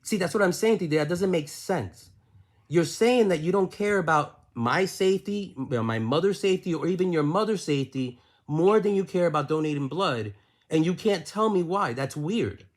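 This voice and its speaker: A man's voice: fairly high pitch, expressive, normal speed. A man in a heated phone argument, insistently repeating himself and pressing question after question. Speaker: caller questioning his aunt.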